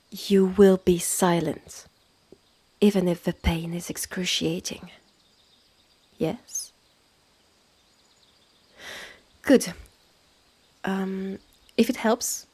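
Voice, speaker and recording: Creaky, haughty French-accented witch, acted by a woman. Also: medium pitch, a little mellow, full character voice. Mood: firm, commanding. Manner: condescending, deliberate, clipped phrases with exasperated sighs. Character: stern, haughty witch innkeeper, sardonic and impatient. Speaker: roleplay audio performer. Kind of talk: scripted second-person audio roleplay, close and measured.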